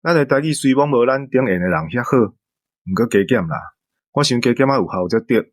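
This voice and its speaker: A man with a Taiwanese accent talking calmly and reflectively in conversation. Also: medium pitch, fairly quick, no echo, studio quality.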